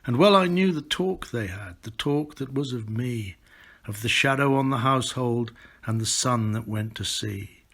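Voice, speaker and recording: An older British man, an author, speaking and reading poetry aloud in an expressive, recited style. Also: mid-range voice, normal speed, breathy edge.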